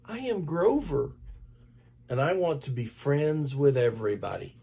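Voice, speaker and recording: A man's voice: fairly high pitch, animated, a little slow, creaky, dark tone, slightly rough, exaggerated voice. A man voicing a shy, eager-to-please young monster in a children's storybook, with earnest, childlike, formal speech.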